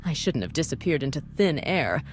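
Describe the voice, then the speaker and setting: Bossy woman operative voiced by a woman for a game, low register, brisk and dryly condescending, faint music underneath. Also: big pitch swings. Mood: brisk, firm. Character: bossy, cynical woman running a secret task force. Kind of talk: visual novel voice acting.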